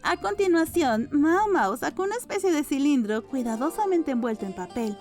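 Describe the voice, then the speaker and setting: A woman's voice: sits high, expressive, normal speed, darkish tone. A woman reading a novel aloud as scripted audiobook narration. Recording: music under the voice. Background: background music.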